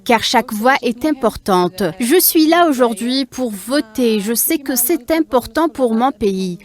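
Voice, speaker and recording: A woman reading voice-over translations of interviews in a measured, even way. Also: a little high.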